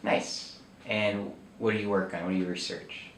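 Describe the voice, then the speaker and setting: Distant host with a roomy echo. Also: male voice, on the low side, little pitch movement, normal speed. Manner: brief, polite prompts. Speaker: interview host. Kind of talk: short interview questions. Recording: roomy echo, distant mic, faint noise.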